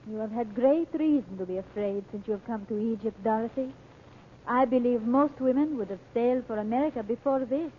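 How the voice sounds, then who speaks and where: A woman's voice: on the high side, close to an everyday voice. Actress as a grave, formal Egyptian woman, tinny recording. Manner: formal, deliberate, no contractions. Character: grave, wise Egyptian woman, soothing companion. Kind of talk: old-time radio serial acting. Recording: tinny, noisy old radio recording.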